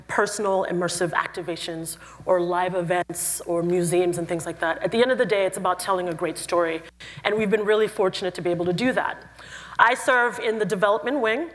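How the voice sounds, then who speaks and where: A woman from Cameroon giving a lively, playful conference talk. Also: mid-range voice. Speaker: conference speaker. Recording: reverberant hall.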